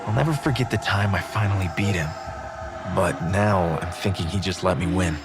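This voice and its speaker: Man as devoted young son, deep voice, gentle, earnest game acting, loud music. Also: moderate pace, close to an everyday voice. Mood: wistful. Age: young adult. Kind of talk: video game cutscene acting.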